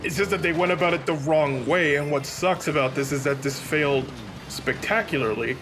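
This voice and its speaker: A man with a somewhat high voice comments casually on a video game. He is wry and critical, and game music is clearly audible behind him. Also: moderate pace. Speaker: let's play commentator.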